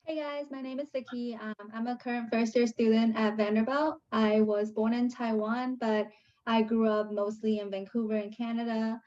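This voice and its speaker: A woman's voice: on the high side, level tone, normal speed, warm tone. A young woman, a medical student, introducing herself on a panel in a casual, breezy way. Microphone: video call. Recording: dull lo-fi call audio.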